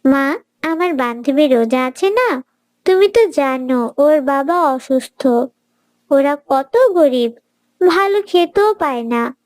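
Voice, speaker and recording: Cartoon casting: woman as devout little girl, high-pitched, earnest and gently preachy. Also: lively intonation, takes it slowly, dry recording. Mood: thoughtful. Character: pious, kindly little girl who gently advises. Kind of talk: children's moral cartoon voice acting.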